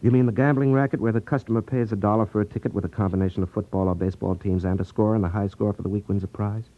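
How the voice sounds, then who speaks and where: An actor playing a sardonic, skeptical detective in a 1950s radio drama, with a husky voice and dry delivery. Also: male voice, on the low side, fairly even intonation, rapid-fire, close to an everyday voice. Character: wry, skeptical, hard-boiled male detective hero. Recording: muffled vintage radio recording.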